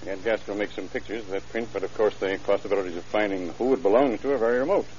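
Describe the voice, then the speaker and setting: An actor playing a matter-of-fact male police detective in an old radio drama, laying out facts in a dry, deliberate way. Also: fairly low pitch, a little mellow, lightly acted. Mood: businesslike. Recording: muffled, hissy old radio recording.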